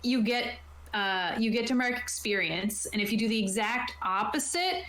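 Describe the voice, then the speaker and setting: A woman's voice: a little high, expressive, normal speed, a bit bright. A woman casually explaining game rules on a tabletop stream, reading aloud and then putting it in informal plain terms. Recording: roomy, echoey sound.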